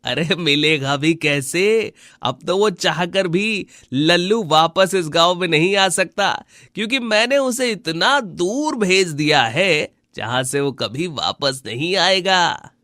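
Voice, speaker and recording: A scheming, envious village husband from a cartoon fable, acted by a man. The voice is very high for a male and takes its time, with exaggerated storybook delivery, recorded dry and close. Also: expressive, slow pace, few pauses, bright, forceful tone, dry recording, full character voice. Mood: gloating. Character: scheming, jealous village husband, gloating over a neighbour's misery. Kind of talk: Hindi animated moral story dialogue.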